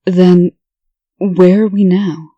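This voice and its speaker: A woman's voice: fairly low pitch, steady tone, slow pace, no echo, dull audio. A woman playing a weakened, testy, dry-witted female patient in a comic dub. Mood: dazed.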